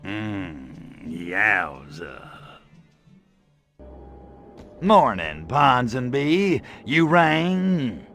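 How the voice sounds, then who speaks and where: An actor playing a brash, lecherous alien invader in a video game, with a cocky, sarcastic, slangy delivery. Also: male voice, on the low side, big pitch swings, extremely slow, a bit bright, exaggerated voice. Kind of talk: video game cutscene acting.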